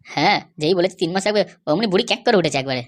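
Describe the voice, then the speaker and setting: A woman's voice: fairly low pitch, lively intonation, racing. Woman as a sly, rattling cartoon lackey. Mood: scheming, gossipy. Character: sly male cartoon schemer and bribe-seeking jail constable. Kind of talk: amateur cartoon comedy dubbing.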